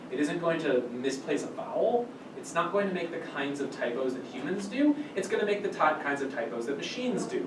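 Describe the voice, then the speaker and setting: A man giving an informal technical explanation to a small group as a workshop presenter, often restarting and repeating his phrases. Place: small indoor meeting room. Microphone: far camera microphone. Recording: distant mic in echoey room, rumbly noise.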